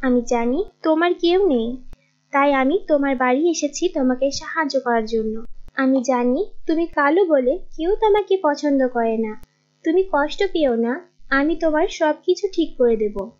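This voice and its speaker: A kind magic doll in an animated tale, voiced by a woman. The voice is high, gentle and comforting. The sound is lo-fi and dull. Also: a little slow. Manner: reassuring, gentle. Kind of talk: animated story dialogue. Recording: dull lo-fi audio.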